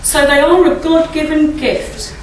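Croaky high-voiced woman in an echoey hall. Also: steady tone, measured pace, background noise. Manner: chatty, asks the audience tag questions and laughs often. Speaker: church speaker. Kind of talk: informal talk at a church service. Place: church hall, indoors. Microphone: standing mic at a lectern, filmed by a far camera. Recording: echoey hall, recorded from a distance.

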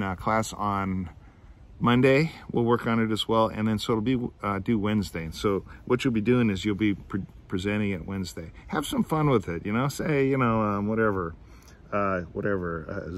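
A middle-aged man, filmed outdoors on a phone, explaining a class assignment in a rambling way, restarting his sentences and thinking aloud. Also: lowish voice. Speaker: teacher. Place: outdoors among trees. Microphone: phone camera at arm's length.

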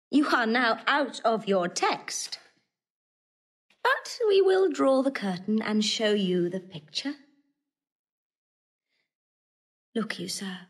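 An actress playing a proud, witty young countess in classical Shakespearean style, imperious, arch and articulate. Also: female voice, mid-range voice. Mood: teasing, amused. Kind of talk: classical stage acting of Shakespeare.

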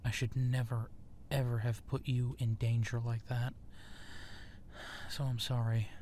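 Slow, hesitant, apologetic young-hearted werewolf played by a man. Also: medium pitch, flat delivery, a little hiss or hum, natural voice. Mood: guilty, apologetic. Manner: hesitant, soft-spoken, halting, often slipping into whispers. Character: gentle, apologetic male werewolf friend, self-deprecating and awkward. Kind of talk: intimate ASMR roleplay audio drama.

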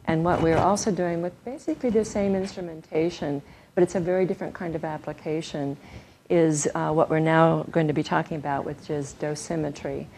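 An older woman presenting research to an audience in a conference room, soft-spoken and using fillers. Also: low voice, fairly even intonation, brisk, slight background noise. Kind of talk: scientific talk at a reception.

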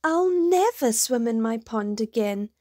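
A woman voicing a small, excitable, frightened frog in a storybook, exclaiming in breathless alarm. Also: fairly high pitch, measured pace, no room sound, clean studio sound.

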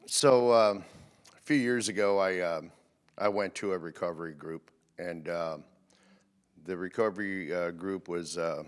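A middle-aged man giving casual, plainspoken testimony, halting with many filled pauses, into a handheld microphone. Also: low-pitched. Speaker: recovery group leader giving testimony. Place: indoors, dim church stage.

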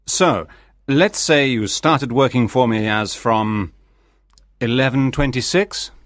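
A man playing a calm, exacting English gentleman of about forty in a dramatized audiobook, speaking formally and precisely. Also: medium pitch, takes it slowly, dry recording, clean studio sound, natural voice. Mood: matter-of-fact.

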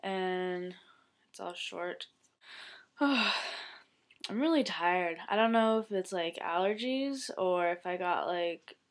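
A young woman with a stuffy nose chatting casually to her webcam in a bedroom, rambling and trailing off softly. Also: on the low side, animated, takes it slowly. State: congested. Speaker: vlogger. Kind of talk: casual chat.

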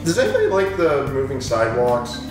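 A man's voice: mid-range voice, huge pitch swings, a little fast. Echoey, distant man joking over game music. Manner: joking, rambling asides. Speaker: let's play host. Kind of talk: casual gaming commentary. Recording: roomy echo, distant mic, music behind.

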